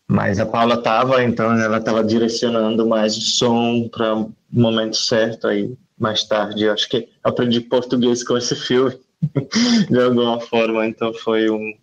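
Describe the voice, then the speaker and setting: Hesitant man with a slow, gravelly voice. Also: medium pitch. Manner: hesitant, many fillers and restarts. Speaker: filmmaker and researcher. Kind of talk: casual panel talk. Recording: dull lo-fi online call audio.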